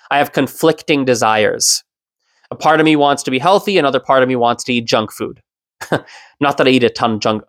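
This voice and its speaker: A man in his thirties hosting his own solo podcast, talking in a reflective, explanatory way with the odd soft laugh. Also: medium pitch, dry recording. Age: 30s. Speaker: podcast host.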